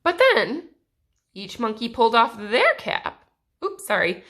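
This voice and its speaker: Slow, lively, high-voiced woman storyteller. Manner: deliberate, dramatic pauses and emphasis. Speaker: story reader. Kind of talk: expressive children's read-aloud narration.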